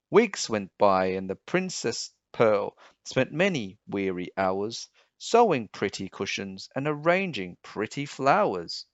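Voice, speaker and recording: Slow, animated man reading a storybook. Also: lowish voice, dry recording. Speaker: storybook reader. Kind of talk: children's read-aloud narration. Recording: dull, slightly muffled sound.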